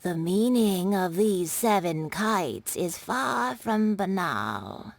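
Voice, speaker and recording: A woman voicing a proud, wise old female artisan in a video game, with a deliberate, old-fashioned way of speaking. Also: on the low side, big pitch swings, gravelly, slight background noise, exaggerated voice. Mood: proud, earnest. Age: older. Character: elderly woman kite maker, proud, wise craftswoman. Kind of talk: video game cutscene dialogue. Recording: music behind the voice.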